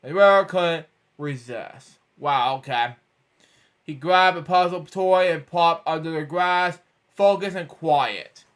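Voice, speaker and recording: A man's voice: a little high, takes it slowly, fairly bright tone. A man reading a children's storybook aloud, stumbling over words and breaking off for rambling asides of his own. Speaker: storybook reader.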